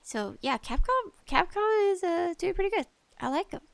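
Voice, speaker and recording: A woman hosting a casual gaming podcast, talking solo in a slangy, conversational way with animated asides. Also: very high voice, normal speed, vocal fry, no room sound. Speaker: podcast host.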